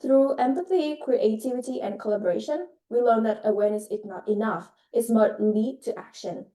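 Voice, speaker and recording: A woman's voice: fairly high pitch, mellow. Young woman, roomy distant mic. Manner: halting, hesitant phrasing in non-native English. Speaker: student presenter. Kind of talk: project presentation. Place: video call.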